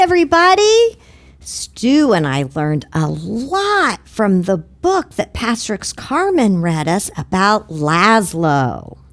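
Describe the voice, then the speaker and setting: Female children's host. Higher, animated voice, extremely deliberate pace, simple explaining style for young kids.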